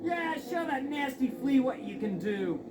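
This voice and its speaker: A woman's voice: sits high, expressive, a little slow, reverberant. A woman playing an outspoken, easily scandalised onlooker who exclaims scornfully in an audio fairy tale. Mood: indignant. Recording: distant, very noisy old record.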